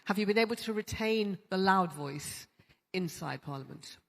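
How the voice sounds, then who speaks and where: A woman moderating a conference panel, chatty and halting with many restarts. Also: mid-range voice, expressive, gravelly. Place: large conference hall. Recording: long hall reverb.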